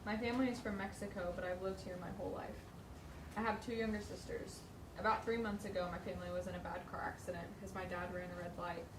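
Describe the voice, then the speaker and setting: A woman's voice: mid-range voice, steady tone, fast. Woman reading aloud in echoey, noisy room. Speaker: training participant reading aloud. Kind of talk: reading a first-person character profile aloud. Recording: echoey room, distant mic, clear noise.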